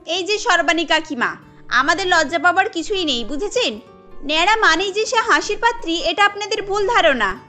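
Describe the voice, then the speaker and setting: Loyal cartoon daughter-in-law, female, voiced by a woman: defiant, assertive, bright, extremely high. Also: expressive, normal speed, faint music behind, full character voice. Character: bold, loyal young daughter-in-law defending her sisters-in-law. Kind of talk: cartoon story dialogue.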